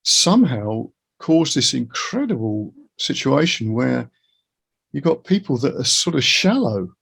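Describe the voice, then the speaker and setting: A middle-aged man chats in a casual interview with a husky voice and plenty of gravelly creak. He is reflective and earnest, setting out his opinions as he goes. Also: medium pitch, big pitch swings, measured pace, fairly bright tone.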